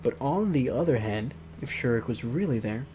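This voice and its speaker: A man hosts a game let's play, reading story text aloud in a theatrical way. He drops out of narration into joking, exclamatory asides. The home recording has clear background noise. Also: mid-range voice, animated, moderate pace. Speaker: host reading a visual novel aloud.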